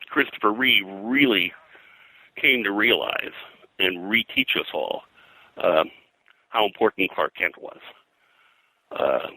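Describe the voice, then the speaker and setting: Older man, very deep bright voice. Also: no echo, rough recording. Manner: halting, digressive storytelling with frequent throat clearing. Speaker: interview guest, comics colorist and pop culture historian. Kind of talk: casual reminiscing interview.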